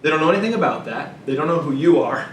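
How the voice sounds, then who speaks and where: A man's voice: fairly high pitch, lively intonation, fast. A man co-hosting a business show, giving casual sales advice in an anecdotal way and acting out quoted lines. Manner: anecdotal, acts out imagined callers and reactions. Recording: echoey room, distant mic, faint noise.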